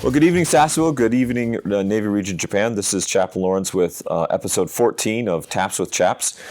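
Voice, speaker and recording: A man's voice: lowish voice, fairly quick, a little mellow, soft background music. A man, a chaplain hosting a podcast, who greets his listeners and asks his guest questions in a friendly, upbeat way.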